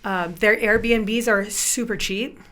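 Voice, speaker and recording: A young woman whose first language is Spanish, chatting casually as a podcast guest, upbeat and quick to laugh. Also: fairly high pitch, fairly even intonation, a bit bright. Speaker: guest musician.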